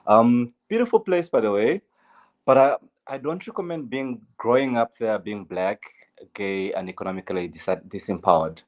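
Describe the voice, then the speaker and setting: A man's voice: mid-range voice, lively intonation, moderate pace, highs cut off. A young man with a Zambian accent, a guest in an interview, speaking earnestly and candidly about his life, with frequent fillers and set phrases. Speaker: guest, LGBTQ advocate. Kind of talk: interview, personal story.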